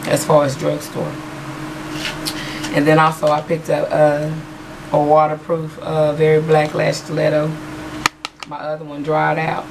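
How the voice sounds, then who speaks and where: A hoarse woman, chatting casually and rambling with many fillers as a beauty vlogger. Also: deep voice, noisy background. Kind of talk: casual haul video chat. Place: small room at home, patterned backdrop. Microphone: webcam in front of her.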